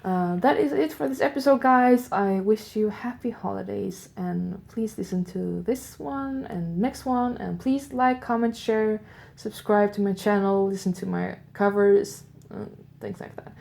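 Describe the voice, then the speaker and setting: A woman's voice: medium pitch, unhurried, vocal fry, few pauses, a little mellow. A woman with a Swedish accent hosting an improvised, radio-style audio show. She chats on her own, musing aloud and turning to her listeners with curious questions. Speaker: host of an audio advent show.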